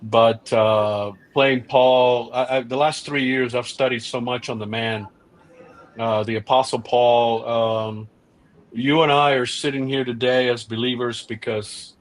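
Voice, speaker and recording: A man's voice: mid-range voice, steady tone, measured pace. A middle-aged man, a guest on a podcast, talking earnestly about his restaurant and acting, with many restarts and fillers. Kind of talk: casual interview chat.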